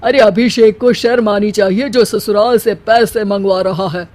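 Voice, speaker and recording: A man's voice: sits high, fairly even intonation, moderate pace, a little mellow, close to an everyday voice. An earnest, protective family man in a cartoon drama, acted by a man. He talks in a fretful, troubled manner. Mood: indignant. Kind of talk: cartoon moral story voice acting.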